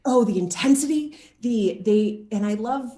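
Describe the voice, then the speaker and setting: A woman chatting casually as an interview guest, animated and given to acting out what people said. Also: fairly high pitch, a little slow. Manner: animated, acts out quoted reactions. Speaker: guest, actor interviewed about game casting and motion capture. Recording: echoey room sound.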